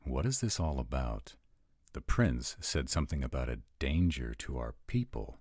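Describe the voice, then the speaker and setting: Guy doing a formal vampire prince type, measured and deliberate, swooping intonation, close dry audio. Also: a bass voice, big pitch swings, darkish tone, no echo, full character voice. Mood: formal, polite. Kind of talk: audio roleplay drama acting.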